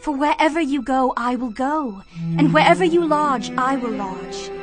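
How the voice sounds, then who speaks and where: A devoted young foreign widow speaks in a fairly high, heartfelt voice. She is humble and earnest, set in a Bible audio drama with music clearly underneath. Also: lively intonation, moderate pace. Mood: devoted pleading. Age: young adult.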